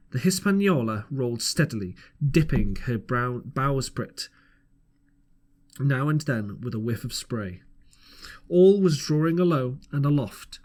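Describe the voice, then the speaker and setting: A man narrating an audiobook as an amateur reader, earnest, with the odd stumble over the text. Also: mid-range voice. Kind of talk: scripted storybook narration.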